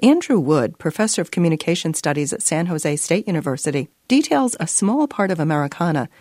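A woman hosting a radio segment, giving a crisp scripted introduction. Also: low-pitched, sing-song, fairly quick, darkish tone, no room sound, studio quality. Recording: faint music under voice.

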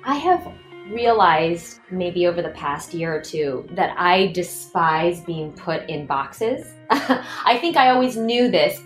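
A woman from the Washington, D.C. area, a performer and director, telling her own story in an effusive, upbeat way. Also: fairly low pitch. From Washington, D.C. area, USA. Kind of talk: first-person audio portrait narration. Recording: roomy sound with faint music behind.

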